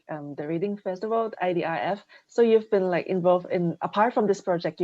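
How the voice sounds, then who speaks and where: A woman's voice: lowish voice, a little mellow, no room sound. A woman interviewing casually, feeling her way tentatively through roundabout questions, on slightly dull audio. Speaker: interviewer, podcast host.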